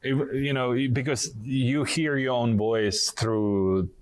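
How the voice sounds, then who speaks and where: A man's voice: mid-range voice, takes it slowly, no echo. A man, a music director, chatting casually and effusively about classical music. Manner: enthusiastic, effusive. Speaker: orchestra music director and conductor.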